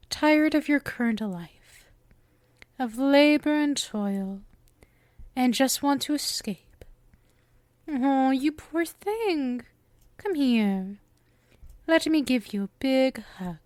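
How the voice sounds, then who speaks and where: Woman acting a slow, coy, lovestruck witch. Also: sits high, animated, darkish tone, no room sound, full character voice. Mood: soothing, tender. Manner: coy, teasing, affectionate, theatrical. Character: sweet, possessive, lovestruck witch shopkeeper, young woman. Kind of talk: ASMR roleplay, intimate scripted acting.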